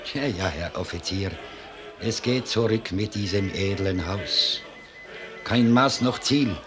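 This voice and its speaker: Low-voiced, grave old cellar master. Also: male voice, slow pace. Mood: grumbling, disapproving. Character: grave, loyal old Bohemian cellar master, mournful and proud. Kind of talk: 1950s German radio drama acting. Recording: 1950s radio recording, music behind.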